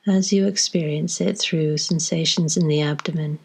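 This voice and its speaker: A woman leading a guided body scan meditation, giving slow, gentle instructions with long pauses between phrases. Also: low-pitched, steady tone, creaky, breathy edge.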